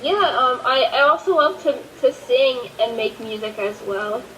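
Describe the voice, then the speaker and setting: A woman in her twenties introducing herself shyly as a podcast guest. She talks slowly and hesitantly in a high voice. She sounds a bit away from the microphone. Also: animated, pushed and bright, far from the mic, slight background noise, rough recording. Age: 20s.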